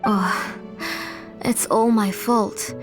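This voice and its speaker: A woman's voice: mid-range voice, fairly even intonation, drawn-out words. An actress voicing a devoted, self-blaming auntie in a video game, with an earnest, motherly manner. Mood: regretful. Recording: prominent game music behind voice. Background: background game music.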